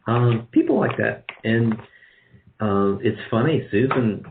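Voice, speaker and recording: A man's voice: fairly low pitch, expressive, takes it slowly, creaky, slight background noise. A man chatting casually as an interview guest, thinking aloud in a hesitant, rambling way. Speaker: guest, radio drama producer. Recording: muffled, band-limited call audio.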